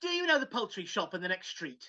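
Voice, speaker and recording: A woman's voice: mid-range voice, sing-song, quick pace, bright, forceful tone. A woman playing an old reformed miser in an impassioned, theatrical live dramatic reading over a video call. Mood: overjoyed. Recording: dull video call audio.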